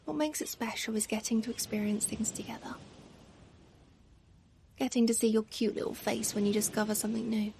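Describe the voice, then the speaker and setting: A woman playing a tender, doting mother in an ASMR roleplay, speaking softly and affectionately, sometimes nearly whispering. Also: fairly high pitch, brisk, gravelly, pauses often. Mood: tender, earnest. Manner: soft, affectionate, gently murmuring, sometimes near whisper. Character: tender, doting, playfully self-deprecating mother comforting her pet. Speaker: ASMR performer. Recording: steady ambient noise, ocean waves. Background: ocean waves ambience.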